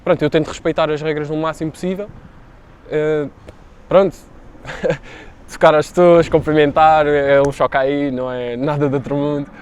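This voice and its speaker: A man's voice: on the high side, flat delivery, normal speed, pauses often, darkish tone, a little hiss or hum. A man in his twenties with a European Portuguese accent who answers interview questions informally, using slangy fillers. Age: 20s.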